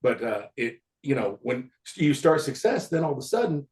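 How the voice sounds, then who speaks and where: Man in his 50s, ex-player reminiscing, rambling delivery with frequent laughs, medium pitch. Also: big pitch swings, moderate pace, a little mellow. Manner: rambling, laughs often, trails off. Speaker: podcast host, former football player. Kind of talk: casual reminiscing chat.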